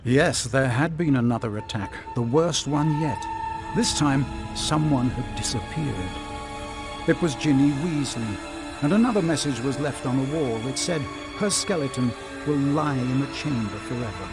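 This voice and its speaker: A man's voice: mid-range voice, normal speed, natural voice. Man as solemn storybook narrator over music. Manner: dramatic, storytelling. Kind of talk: scripted narration.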